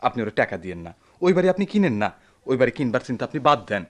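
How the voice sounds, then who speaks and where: An actor playing an indignant, meddling villager in a radio drama, argumentative and emphatic, with a rural Bangladeshi accent. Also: male voice, mid-range voice, sing-song, fast talker, close to an everyday voice. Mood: urgent persuading. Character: indignant, meddling older brother-figure in a village quarrel. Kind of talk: Bengali radio drama acting.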